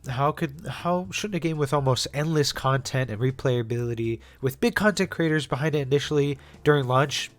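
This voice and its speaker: A man's voice: medium pitch, normal speed, hardly any pauses. Congested young man narrating a game essay. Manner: casual, many fillers and restarts. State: congested after being sick. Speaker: gaming YouTuber. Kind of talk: scripted video essay narration.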